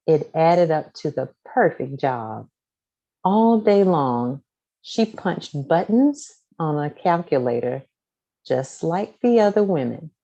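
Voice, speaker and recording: Low, mellow woman reading a storybook. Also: vocal fry, lo-fi sound. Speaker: storybook reader. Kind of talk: scripted children's story read-aloud.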